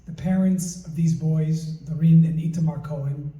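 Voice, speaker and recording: A man presenting at an event, reading a prepared introduction in a measured, storytelling way in a large community hall. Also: on the high side, fairly even intonation, dark tone. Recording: roomy hall, mic at a distance.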